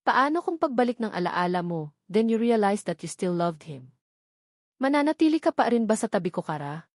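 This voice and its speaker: A woman's voice: mid-range voice, very animated, normal speed, no echo, clean studio sound, lightly acted. A woman voicing an anxious, lovesick husband in an audio romance novel, pleading earnestly and sorrowfully with his wife. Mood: worried.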